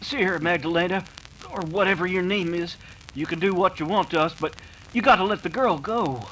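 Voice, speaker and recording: Man as a high, forceful young hero. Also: fairly quick, slight background noise, low-quality recording. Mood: defiant. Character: defiant, earnest young railroad foreman hero. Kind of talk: 1930s western radio acting.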